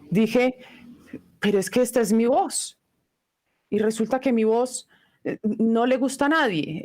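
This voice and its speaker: A middle-aged woman whose first language is Italian, giving a live online voice lesson in an unhurried, reflective teaching manner. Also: mid-range voice, a little mellow.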